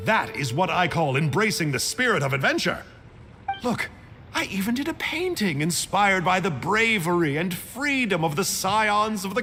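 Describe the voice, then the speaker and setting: A chatty villain sorcerer from a video game, lilts wildly up and down in a highish voice. He is theatrical and mock-courteous, rambling merrily over background music. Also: bright, forceful tone, heavily acted. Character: chirpy, chatty male abyss mage villain. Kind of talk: video game character acting.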